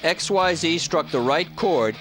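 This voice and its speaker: A man's voice: fairly high pitch, lively intonation, measured pace. A man reading scripted narration as the voice-over narrator of a documentary. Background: music.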